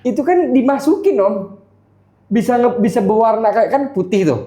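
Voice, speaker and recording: Mellow interviewer in an echoey room. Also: medium pitch, animated, normal speed. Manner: chatty, inquisitive, often seeking agreement. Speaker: podcast host and interviewer. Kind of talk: casual interview chat. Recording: roomy, echoey sound.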